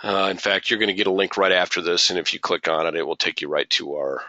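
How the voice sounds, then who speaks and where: A male webinar host with a low voice and a forceful edge reads listener questions aloud. He is losing his voice. The recording is dull and dry. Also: fast talker, no echo. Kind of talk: hosting a webinar.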